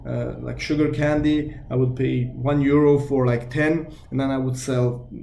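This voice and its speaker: A man's voice: mid-range voice, flat delivery, measured pace, gravelly, dark tone, a little hiss or hum. A young man from Belgium with a Belgian Dutch accent, a native Dutch and French speaker talking in English, introducing his sales channel in an earnest, hesitant way with many fillers and restarts. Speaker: YouTube sales channel host.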